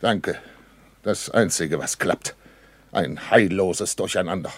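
Worried army captain, dry sound. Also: male voice, low voice, takes it slowly, slightly rough, no echo, heavily acted. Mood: gloomy, anxious. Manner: earnest, urgent, formal with superiors. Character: worried, dutiful German army captain under pressure, male. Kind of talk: 1960s German radio play acting. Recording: dry early 1960s radio studio.

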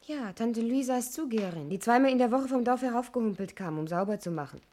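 An actress in a 1960s German radio play, playing a suspicious, insistent niece with a sceptical, pointed way of questioning. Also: female voice, a little high, expressive, fast talker, mellow, lightly acted. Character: suspicious, insistent adopted niece of a missing aunt.

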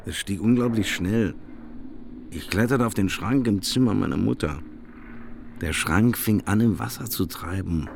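Steady older grandpa, lowish voice, recounting a flood in a radio drama, bit of background noise. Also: male voice, measured pace, creaky. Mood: tense recounting. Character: grandfather Robert steadily recounting his family's flood escape. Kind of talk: dramatic first-person survivor monologue.

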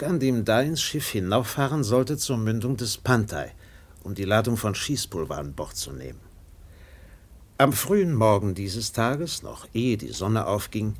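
Radio drama narrator: grave seafaring storyteller, lowish male voice, sweeping dynamic delivery, dramatic pauses. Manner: measured phrases with dramatic swells and pauses.

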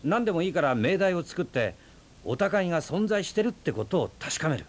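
An actor playing a calm, teasing man who lectures in a relaxed way about talk and existence, in a radio drama. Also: a little high, fairly quick, a little hiss or hum. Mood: musing. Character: easygoing philosophical man, fond of debate. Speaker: storyteller and friend of the aunt.

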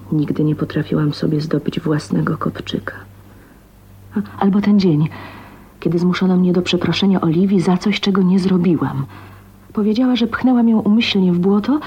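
Breathy, husky, lonely young spinster. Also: female voice, mid-range voice, warm tone. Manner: introspective, halting, half-whispered. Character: bitter, lonely, ailing 29-year-old spinster heroine, soliloquizing. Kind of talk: 1980s radio drama monologue. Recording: dull, muffled radio recording.

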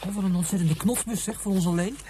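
An actor voicing an eager, excitable young boy in a radio play, gushing and stammering over his words. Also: high voice, fast talker, noisy background, natural voice. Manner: breathless, gushing, stammering repeats.

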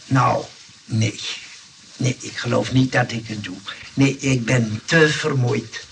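An actor playing a weary, fussy gentleman in a radio play, speaking hesitantly and formally, in a plaintive way that easily turns exasperated. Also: mid-range voice, slow pace, gravelly, distant mic, background noise. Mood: puzzled, weary. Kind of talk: Dutch radio play acting.

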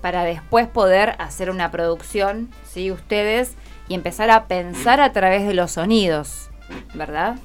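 A woman radio host with an Argentine Rioplatense accent speaks at a middling pitch into a studio mic. She is encouraging and conversational, asking questions and adding little tags to check in, with faint music behind her. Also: a little slow. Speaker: radio host interviewing schoolchildren. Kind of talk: casual radio interview. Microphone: close studio microphone.

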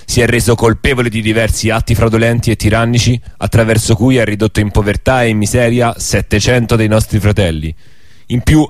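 A man's voice: lowish voice, a little mellow, no echo, clean studio sound, natural voice. A man playing a grave rebel leader who reads out a workers' declaration formally and solemnly in a radio drama.

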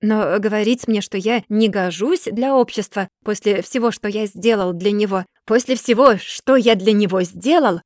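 A woman voicing a distracted, gloomy rich gentleman who mumbles and then rants in a storybook reading. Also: mid-range voice, moderate pace, dry recording, studio quality, natural voice. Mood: furious ranting. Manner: absent-minded, mumbling, then bursting into furious ranting.

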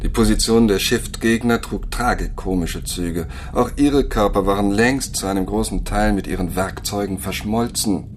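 Man playing low-voiced, condescending virtual overseer. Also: a little hiss or hum, close to an everyday voice. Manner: measured, didactic, condescending. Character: cold, patient male virtual overseer scientist, lecturing and superior. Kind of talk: German radio drama acting.

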